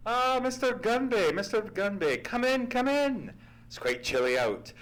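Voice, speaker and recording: Man acting as gentleman storyteller, genial, fussy, hearty, very lively intonation, old-time radio drama. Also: high-pitched, measured pace, full character voice. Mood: hearty welcome. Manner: hearty, theatrical, repeats phrases. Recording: live theatre stage recording.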